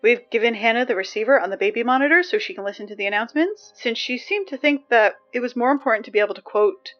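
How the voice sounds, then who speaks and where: Audio drama: woman playing a nervy, sardonic schoolgirl on morning announcements, highish pitch, self-interrupting, slightly dull sound. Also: fast talker, faint music behind, natural voice. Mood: wry, grudging. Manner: rambling, sardonic asides, hesitant self-interruptions. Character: anxious, wry high school girl reading morning announcements.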